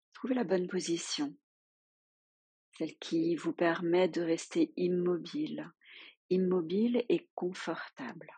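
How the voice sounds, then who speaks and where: A woman leading a guided yoga nidra relaxation as a teacher, giving soothing, unhurried instructions with long pauses. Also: fairly low pitch, a little mellow, husky, no echo.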